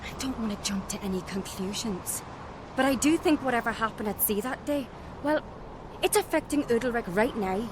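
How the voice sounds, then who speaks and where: A woman's voice: on the high side, normal speed, background noise, lightly acted. An actress playing an earnest, headstrong young island noblewoman in a fantasy video game, speaking frankly like a storyteller. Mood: earnest, convinced. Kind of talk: video game cutscene acting. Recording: music behind the voice.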